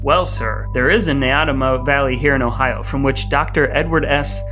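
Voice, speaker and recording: A man's voice: mid-range voice, moderate pace, music in the background. An American man co-hosting a podcast in a wry, mock-formal, wordy way, mixing scripted banter with introductions. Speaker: podcast co-host.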